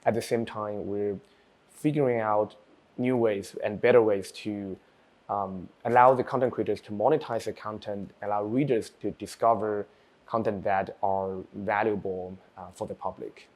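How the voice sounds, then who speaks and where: Low-voiced man. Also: steady tone. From China. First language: Chinese. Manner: thoughtful, with frequent pauses and um fillers. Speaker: developer and researcher. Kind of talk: personal project presentation.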